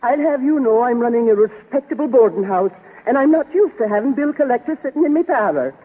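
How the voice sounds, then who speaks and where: A woman's voice: on the high side, animated, fast, breathy edge. An actress playing a stern, indignant boarding-house landlady in 1930s radio comedy, scolding in an affronted manner. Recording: muffled 1930s radio recording, long reverb.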